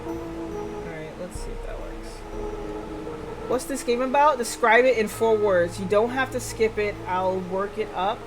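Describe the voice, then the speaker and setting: Unhurried woman streamer over clear music. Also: mid-range voice, lively intonation. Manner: hesitant, thinking aloud in fragments. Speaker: livestream host. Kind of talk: casual live game-stream chat with viewers. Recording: background music under the voice.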